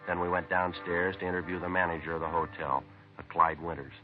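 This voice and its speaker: A man playing a methodical, deadpan police detective from old radio crime drama. His voice is very low and he rattles off facts quickly in clipped sentences. It sounds like a tinny vintage broadcast. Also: music in the background, lightly acted. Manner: deadpan, clipped, matter-of-fact. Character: businesslike, methodical male police detective narrating his case. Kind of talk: 1950s radio crime drama, terse narration. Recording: tinny narrow-band vintage radio recording.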